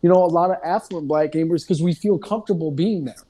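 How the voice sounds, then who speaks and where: A man's voice: fairly high pitch, quick pace, warm tone. A man in a casual group discussion, talking in a loose, digressive way and often checking in with "you know what I mean".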